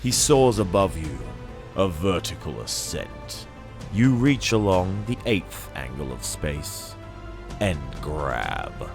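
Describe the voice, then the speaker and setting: A man reading a dramatic story as scripted narration, with a theatrical, savouring delivery and weighty pauses. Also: sits low. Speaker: audiobook-style reader. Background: music.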